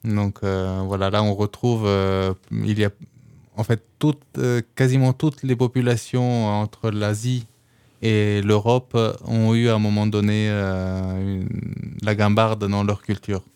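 A man explaining casually as an interview guest, pausing often as he gathers his thoughts. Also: on the low side, little pitch movement, darkish tone, smooth.

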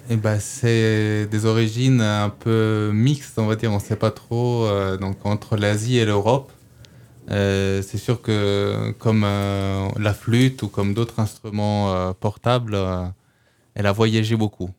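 A man explaining casually as an interview guest, pausing often as he gathers his thoughts. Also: lowish voice, level tone, darkish tone, smooth.

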